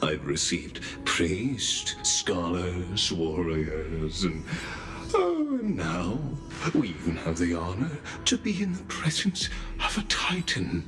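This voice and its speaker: An actor playing a suave, courteously menacing official in a video game, formal and theatrical, with drawn-out pauses. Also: male voice, low voice, big pitch swings, over music, full character voice. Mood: courteous, veiled menace.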